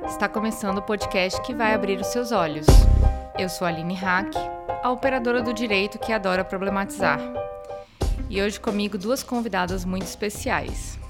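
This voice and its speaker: A woman's voice: mid-range voice, moderate pace, loud music behind the voice. A woman with a Brasília accent presenting a podcast and putting questions to guests as host. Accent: Brazilian, Brasília.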